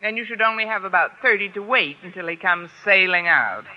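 An actress playing a wry, wisecracking American high school English teacher in an old radio comedy, with dry, articulate delivery. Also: female voice, a little fast, background noise, lightly acted. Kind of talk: 1940s-50s American radio comedy acting and narration. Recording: muffled old-time radio recording.

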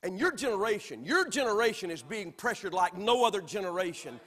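A Southern man from Alabama preaching in a church. He has a high voice, and the long reverb of the sanctuary hangs after his words. He swings from loud, emphatic lines to soft, quiet ones. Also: sing-song, fairly quick. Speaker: pastor. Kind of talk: sermon.

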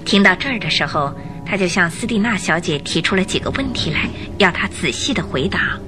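A woman's voice: fairly low pitch, lively intonation, brisk. A woman narrator reading scripted storytelling narration for a radio drama. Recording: radio drama with music behind.

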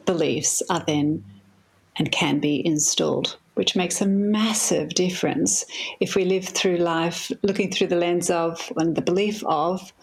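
A woman therapist guest on a podcast, explaining with lively enthusiasm. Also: lowish voice, unhurried, vocal fry, husky. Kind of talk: podcast interview.

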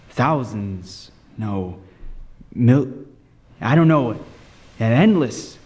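A man's voice: on the low side, mellow. An actor playing a lighthouse keeper who tells his own harrowing tale in vivid, suspenseful old-time radio style. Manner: vivid, suspenseful first-person storytelling. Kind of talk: 1940s-style radio drama narration and acting. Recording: long reverb with music underneath.

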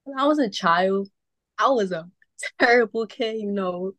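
A woman's voice: medium pitch, normal speed, fairly bright tone, no room sound. A young adult woman from Brooklyn, chatting casually as a podcast guest, upbeat and full of fillers. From Brooklyn, New York. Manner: chatty, upbeat, with many "like" and "you know" fillers. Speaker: podcast guest, model and event host. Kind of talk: casual interview chat.

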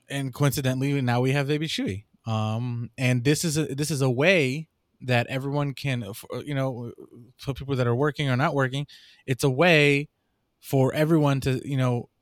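A young man, a new father, chatting casually on a podcast and rambling through stories with sudden lively jumps in his voice. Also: no echo, clean studio sound. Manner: rambling storyteller, animated jumps in pitch. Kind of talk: casual chat.

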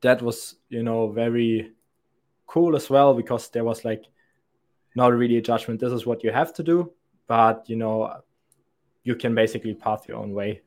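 A man's voice: mid-range voice, normal speed. A man in his twenties, a sales account executive, answering podcast interview questions in a rambling, thoughtful way, often restarting his sentences. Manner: rambling and thoughtful, frequent restarts and soft laughs.

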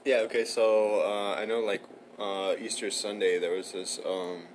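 A man's voice: on the low side, little pitch movement, unhurried, a little hiss or hum, rough recording. A young man co-hosting a podcast, talking casually and rambling, filling sentences with "like" and the odd swear. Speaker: podcast co-host. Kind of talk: casual chat.

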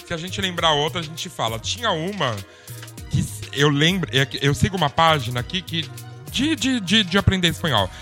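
A Brazilian man with a Brazilian Portuguese accent, co-hosting a casual chat and telling stories in a lively, emphatic way. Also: fairly high pitch, fairly quick, soft background music. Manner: lively, emphatic, animated storytelling.

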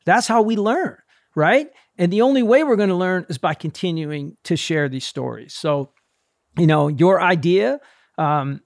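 A man's voice: on the high side, dry recording, studio quality. A man hosting a casual conversation, talking in an enthusiastic, affirming way. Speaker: podcast host.

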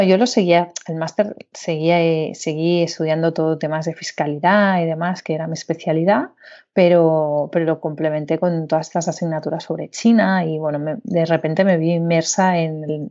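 A woman's voice: deep voice, dark tone, dull audio. A woman from Barcelona, a guest in an interview, talking chattily with many fillers and tag questions. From Barcelona, Spain. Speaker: guest, startup founder. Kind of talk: conversational interview.

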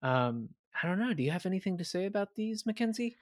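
A man co-hosting a casual film podcast, relaxed and enthusiastic, with frequent ums. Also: high voice, quick pace, no room sound, studio quality. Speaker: podcast co-host.

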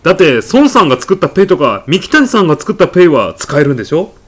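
A man plays an eccentric supermarket customer in a comedy radio sketch. He is insistent and absurdly self-assured, pressing his case like a salesman, with very lively swings of pitch. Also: fast, husky. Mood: smug, indignant. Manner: insistent, indignant salesman-like patter. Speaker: podcast actor. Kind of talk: comedy radio drama acting.

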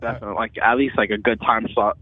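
A man's voice: lowish voice, flat delivery, fast. A man calling in to a show and chatting casually and jokingly over the phone. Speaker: caller. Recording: tinny phone line with faint noise.

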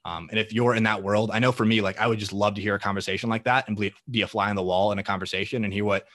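Young male guest, quick and bright, low-pitched, sure of himself, rarely hesitates. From Minnesota. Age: young adult. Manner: confident, fluent, energetic talker. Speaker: guest, marketing entrepreneur. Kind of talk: podcast interview answers.

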